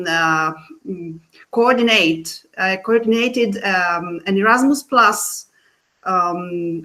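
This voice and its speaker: A woman in her fifties from southern Italy, a teacher introducing herself on a video call, halting and correcting herself. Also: mid-range voice, a bit bright. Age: 50s. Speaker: maths and physics teacher.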